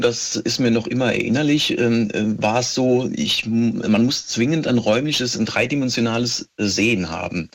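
Man, low-pitched voice, husky and rough, measured expert interview delivery, matter-of-fact. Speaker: police handwriting expert, interview guest. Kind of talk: explanatory interview answers.

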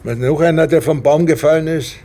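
An actor playing a harried, sardonic police detective in a radio drama, with questioning, halting delivery. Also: male voice, a little high, warm tone, close to an everyday voice. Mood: irritated, sardonic.

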